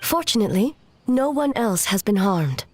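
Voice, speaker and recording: A woman's voice: mid-range voice, very animated, moderate pace, darkish tone. A woman playing a dignified, solemn female ruler in a game, speaking formally, earnestly and with composure. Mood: solemn, composed. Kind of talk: English game voice acting.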